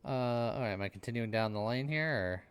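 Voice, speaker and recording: A man's voice: medium pitch, animated, a little fast, smooth, no room sound, studio quality. A man giving casual, dryly jokey, mock-complaining commentary as he streams a video game. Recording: music in the background. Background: game music.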